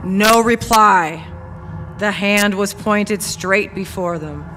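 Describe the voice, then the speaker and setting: Male narrator, high and forceful, unhurried, reverberant hall with faint noise. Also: soft background music. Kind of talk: scripted dramatic narration of a story.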